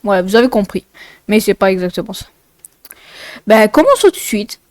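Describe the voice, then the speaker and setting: A woman's voice: mid-range voice, big pitch swings, normal speed, darkish tone. A woman with a slightly congested nose narrating a casual explainer video in an informal, rambling way full of asides. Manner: informal, rambling, often digressing.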